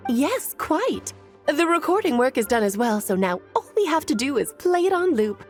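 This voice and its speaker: A woman's voice: high-pitched, very animated, moderate pace, darkish tone, faint music behind. A woman voicing a wise, playful sorceress in an anime game, poised and knowing. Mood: gracious. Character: wise, playful adult sorceress, elegant and teasing.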